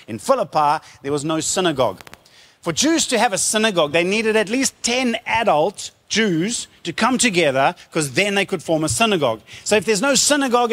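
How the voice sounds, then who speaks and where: A man preaching in an animated storytelling style, using rhetorical questions, emphatic repetition and informal retelling. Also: high-pitched, big-room sound.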